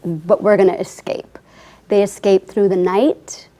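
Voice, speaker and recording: A woman's voice: medium pitch, flat delivery, a little slow, dark tone. A woman in her forties, born in Afghanistan with Farsi as her first language, earnestly telling her life story as an author guest. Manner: earnest, heartfelt, often says "I mean". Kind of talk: interview storytelling.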